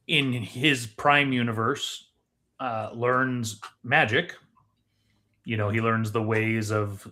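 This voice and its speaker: A man with a medium-pitched voice co-hosts a casual movie discussion. He explains ideas in a measured, conversational way, laying them out piece by piece. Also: pushed and bright. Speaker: podcast co-host.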